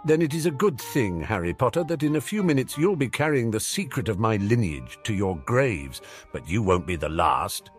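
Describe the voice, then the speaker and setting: A man voicing a cold, hateful young dark wizard who speaks with menace and spite. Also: on the low side, very animated, moderate pace, hardly any pauses, faint music behind. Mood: spiteful.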